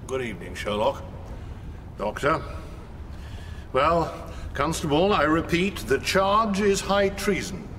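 An English actor playing an imperious senior government man in a radio drama, measured and pompous. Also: fairly high pitch, very animated, a bit bright, long reverb tail, slight background noise, heavily acted. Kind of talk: BBC radio drama acting.

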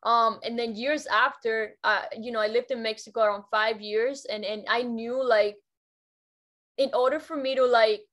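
A woman's voice: a little high, steady tone, moderate pace, a bit bright. Upbeat young woman on a video call. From Cuba. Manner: upbeat, repeats words and restarts often. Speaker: guest educator. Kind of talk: casual motivational talk. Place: bedroom at home.

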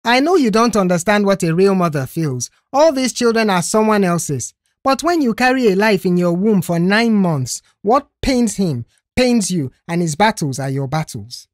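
A woman's voice: mid-range voice, animated, moderate pace, hardly any pauses, no room sound, studio quality, natural voice. A woman voicing an indignant, defiant middle-aged mother in an audio story, confrontational and dramatic.

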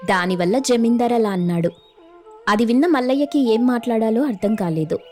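A woman narrating a scripted animated moral story as the storyteller. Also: on the high side, fairly quick. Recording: music under the voice.

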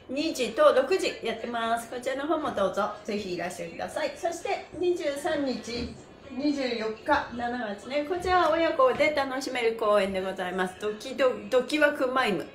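Woman, high voice, upbeat livestream fitness host, energetic, polite chatty delivery, echoey room. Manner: cheerful, energetic, often laughing, polite presenter phrasing. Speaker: host of a livestreamed stretching class, pantomime performer. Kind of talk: upbeat exercise instruction and casual livestream chat to viewers. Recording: roomy echo, slight background noise.

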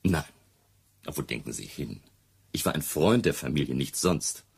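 Very deep, gravelly, touchy gentleman. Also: very animated, measured pace, exaggerated voice. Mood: defensive, indignant. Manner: emphatic, defensive. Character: touchy, indignant gentleman friend of a missing singer. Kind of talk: German radio play acting.

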